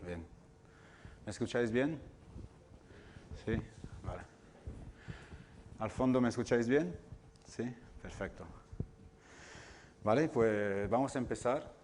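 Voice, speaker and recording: A man whose first language is not Spanish, lecturing an audience on game development and often pausing to search for words. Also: mid-range voice, a little mellow, a little hiss or hum. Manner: searching for words, frequent restarts and fillers. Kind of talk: public talk to an audience. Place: cultural center lecture room.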